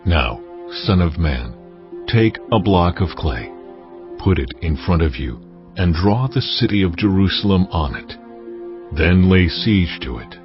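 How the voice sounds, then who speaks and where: An actor voicing a stern, commanding God, speaking deliberately and with authority in a dramatized scripture reading. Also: male voice, low voice, lo-fi sound. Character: God, solemn commanding deity instructing a prophet.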